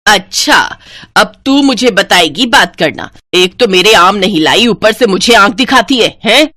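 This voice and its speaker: A woman's voice: medium pitch, lively intonation, unhurried, pushed and bright, dry recording. Cruel, bossy mother-in-law for a moral story, played by a woman narrator, harsh and taunting, dry close recording.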